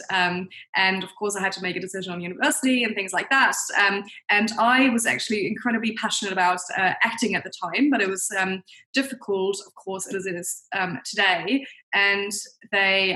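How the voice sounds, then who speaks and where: A woman's voice: mid-range voice, pushed and bright, low-quality recording. A young adult woman who grew up in New Zealand and Germany, giving chatty, conversational interview answers peppered with "like" and "you know". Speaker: guest, marketing consultant.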